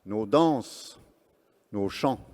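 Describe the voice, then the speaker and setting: Man, stage presenter and live interpreter, earnest, slow phrase-by-phrase delivery. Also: fairly low pitch, animated, darkish tone. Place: large event hall on stage. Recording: long reverb of a large hall.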